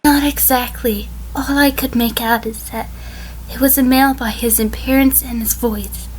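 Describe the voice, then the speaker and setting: A woman's voice: on the high side, steady tone, moderate pace, slight background noise, close to an everyday voice, rough recording. A woman playing a defiant, evasive defendant testifying in an audio drama, prickly with hesitant stammers. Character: defiant, evasive female defendant on the witness stand. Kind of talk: audio drama courtroom acting.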